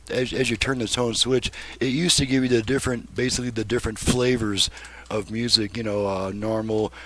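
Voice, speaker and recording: A man's voice: medium pitch, fairly bright tone. A man giving a casual hands-on demonstration as a repairman, explaining step by step in a plain-spoken way. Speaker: repairman demonstrating a restored car radio.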